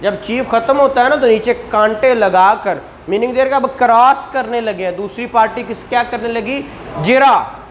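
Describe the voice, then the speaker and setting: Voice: mid-range voice, moderate pace, a little hiss or hum. A law lecturer with a Pakistani accent explains in a conversational, didactic way, repeating points and asking rhetorical questions.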